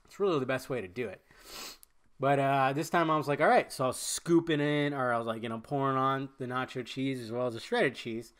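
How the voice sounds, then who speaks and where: A man's voice: medium pitch, steady tone, brisk, a bit bright. A man hosting a podcast in loose, rambling casual chat, full of fillers and restarts, sounding nasal and stuffed up from a cold. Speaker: podcast host.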